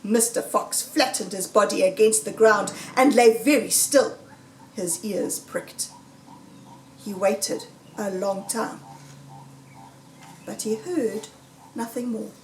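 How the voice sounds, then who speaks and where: A woman reading a children's story aloud in a deliberate, dramatic, suspenseful storytelling manner. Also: medium pitch, darkish tone, slight background noise. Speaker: storybook reader. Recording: roomy echo, distant microphone.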